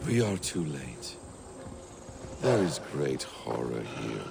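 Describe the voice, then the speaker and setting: Gravelly, silky scheming assassin. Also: male voice, very deep voice, big pitch swings, slow pace, noisy background, heavily acted. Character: shrewd, silky schemer and assassin, male, philosophical and watchful. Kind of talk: video game voice acting.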